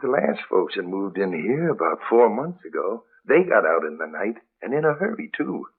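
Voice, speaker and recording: A wary, deferential small-town milkman in a 1930s radio play. His husky voice rises and falls a lot, heard on a tinny, phone-like recording. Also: lowish voice, big pitch swings, moderate pace, exaggerated voice. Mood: ominous. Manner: hesitant, folksy, deferential. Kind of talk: 1930s radio drama acting.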